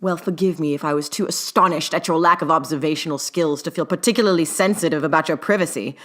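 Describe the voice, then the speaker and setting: A woman's voice: deep voice, fast talker, fairly bright tone. Woman playing a stiff, proud male academic. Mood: sharp, defensive. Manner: formal, clipped, stiffly polite. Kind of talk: dramatic fiction reading.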